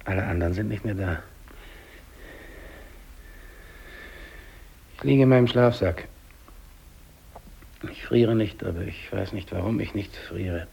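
An actor playing a dying, despairing English polar explorer alone, brooding and halting, in a 1950s German radio drama monologue. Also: male voice, low voice, dull audio, full character voice. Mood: bleak, brooding. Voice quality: gravelly, husky. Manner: halting, brooding, repeats words, hushed to declamatory.

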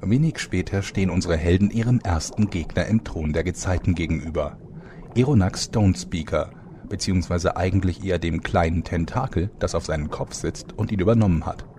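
A man's voice: sits low, brisk, a little hiss or hum, natural voice. A man playing a wry, bossy storyteller, delivering scripted comic narration with dry irony. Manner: dry, ironic.

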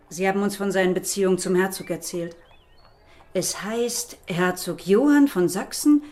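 An actress playing a shrewd, resolute woman rebel in a historical audio drama, speaking deliberately and with determination. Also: on the low side, darkish tone, lightly acted.

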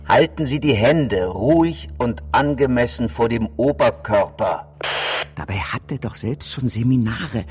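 An actor playing a smug, scheming lobbying consultant in a satirical radio play, pompous and grumbling. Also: male voice, medium pitch, lively intonation, a little slow, darkish tone, slightly rough. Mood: exasperated. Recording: music playing under the voice.

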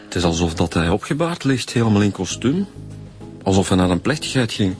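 An actor playing an easygoing, slightly hesitant police detective with a Flemish accent, talking colloquially in a radio drama. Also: male voice, low-pitched, sing-song, a little fast, darkish tone, soft background music. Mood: matter-of-fact.

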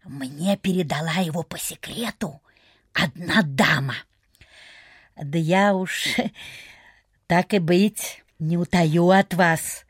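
A smug provincial lady in old radio-theatre style. Her voice is husky and her delivery leisurely, confidential and knowing, with quaint folksy turns of phrase. The recording is dry. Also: lowish voice, takes it slowly, long pauses, no room sound. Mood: confiding, self-important. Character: smug, confiding lady neighbour peddling folk cures. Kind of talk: Soviet radio theatre acting.

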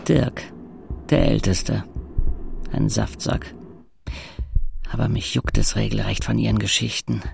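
A man's voice: lowish voice, level tone, unhurried, gravelly, long pauses, slight background noise. An actor playing a sardonic fourteen-year-old paralysed boy who narrates a radio play in a dry, laconic way. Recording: music behind the voice.

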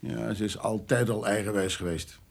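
A man's voice: lowish voice, fairly even intonation, normal speed, vocal fry. An actor playing a stern, self-righteous middle-aged father, curt and authoritarian in a radio drama.

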